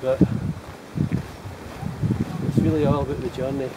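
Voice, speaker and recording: A man's voice: mid-range voice, little pitch movement, unhurried. A middle-aged Scottish man chatting casually to a handheld camera as he hikes outdoors. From Scotland. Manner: chatty, rambling talk to camera while walking. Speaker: vlogger. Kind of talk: casual hiking vlog. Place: outdoors on roadsides and forest paths. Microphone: camera held at arm's length. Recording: loud wind and passing traffic.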